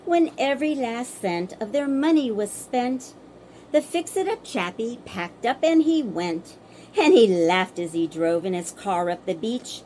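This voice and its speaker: A woman storyteller performing a children's bedtime story in rhythmic verse, theatrical and expressive. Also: fairly high pitch, slight background noise, poor audio.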